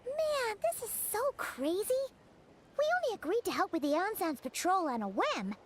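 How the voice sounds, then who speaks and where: Very high squeaky childlike fairy companion. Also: female voice, sing-song, moderate pace, slight background noise. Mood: excited. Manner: chatty, exclaiming, refers to self in third person. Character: small excitable childlike floating fairy companion. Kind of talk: anime game voice acting. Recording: music behind the voice.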